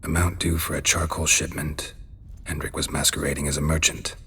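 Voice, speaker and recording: Gruff deadpan monster hunter for a game, american accent, super low raspy voice, stoic and terse. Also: male voice, a little fast, heavily acted. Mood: terse musing. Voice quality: gravelly, husky. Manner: clipped, deadpan, low-key. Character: gruff, stoic monster-hunting mercenary, laconic and world-weary. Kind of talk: video game voice acting.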